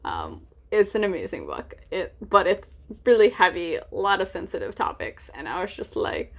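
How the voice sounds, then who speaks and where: A woman's voice: fairly low pitch, wildly swooping pitch, moderate pace. Chatty young woman gushing about favorites. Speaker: book reviewer vlogger. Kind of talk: casual chatty book vlog.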